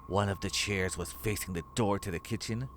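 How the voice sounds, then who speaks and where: A man's voice: sits low, steady tone, moderate pace, fairly bright tone, slight background noise. A man narrating a scripted horror story as its storyteller.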